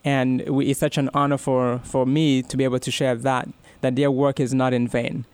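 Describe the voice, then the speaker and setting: A man's voice: mid-range voice, little pitch movement, normal speed, darkish tone, smooth, no room sound. A man in his thirties giving an earnest, heartfelt personal testimony as a guest in a radio interview, with frequent restarts. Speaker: radio guest, ministry worker.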